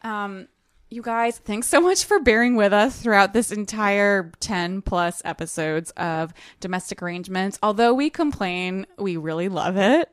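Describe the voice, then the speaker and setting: A woman's voice: medium pitch, smooth, dry recording, clean studio sound. A woman co-hosting a podcast in casual, slangy, slightly wry conversation. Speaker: podcast co-host. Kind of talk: casual book-discussion chat.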